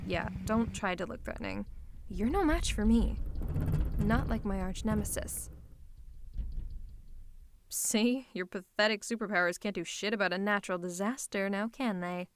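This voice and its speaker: A woman's voice: medium pitch, unhurried, long pauses, background noise, close to an everyday voice. A woman voicing a theatrical, possessive female supervillain who is secretly a tender lover, in a scripted ASMR roleplay with a dramatic, haughty, teasing manner. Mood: mocking. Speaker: ASMR voice performer.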